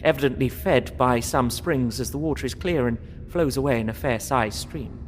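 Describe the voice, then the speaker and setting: An actor playing an earnest, polite young English solicitor, narrating his journal in measured, bookish Victorian phrasing for an audio drama. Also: mid-range voice, slight background noise, lightly acted.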